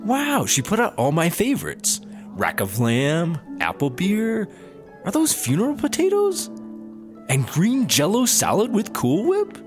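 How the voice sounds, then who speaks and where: An actor playing an excitable, sarcastic male sidekick in a sci-fi comedy audio drama. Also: high voice, a little slow, exaggerated voice. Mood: impressed. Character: excitable, wisecracking male sidekick in squad duo. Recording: music behind the voice.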